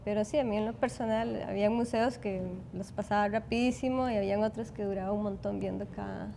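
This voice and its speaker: Woman, thoughtful and measured, gravelly fry, mellow tone. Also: mid-range voice, steady tone, slight background noise. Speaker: guest. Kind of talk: TV studio interview. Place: TV studio.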